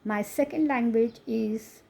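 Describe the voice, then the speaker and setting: A woman's voice: a little high, takes it slowly, creaky, a little mellow, slightly rough. A woman from India with an Indian accent, whose first language is Konkani, chatting casually through a coloring book flip-through with frequent laughs. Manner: chatty, laughs often, self-deprecating asides.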